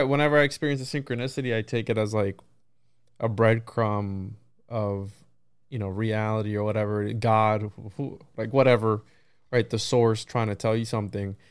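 A man's voice: lowish voice, clear, resonant tone. A man in a casual podcast conversation, musing in a rambling way and often checking in with "right" and "you know". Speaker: podcast host.

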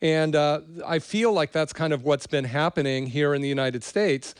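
A man's voice: a little high, moderate pace, smooth, no room sound. A man hosting a podcast interview, introducing his guest in a loose, rambling way with fillers. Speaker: podcast host.

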